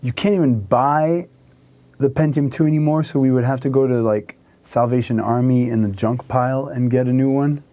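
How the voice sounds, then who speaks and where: A man's voice: mid-range voice, moderate pace. A man in his thirties casually touring his wood-panelled music studio, rambling and gesturing as he talks. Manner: relaxed, rambling, gestures with hands while talking. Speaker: musician giving a studio tour. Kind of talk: casual chat.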